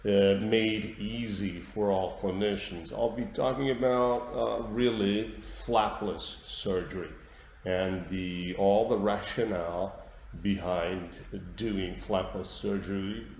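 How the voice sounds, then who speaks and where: A male dentist with a Portuguese accent gives a webinar lesson at an unhurried pace. His voice is fairly low, and he repeats words for emphasis. The sound is roomy, with faint noise underneath. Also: fairly even intonation, darkish tone. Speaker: dentist giving a webinar lecture. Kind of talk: instructional webinar presentation. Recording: roomy echo with light background noise.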